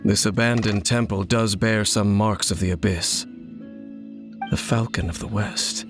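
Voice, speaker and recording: A man plays a world-weary wandering warrior from a video game. His low voice is grave and measured, with formal, knowing delivery. Soft music plays underneath. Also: steady tone, close to an everyday voice. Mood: calm, brooding.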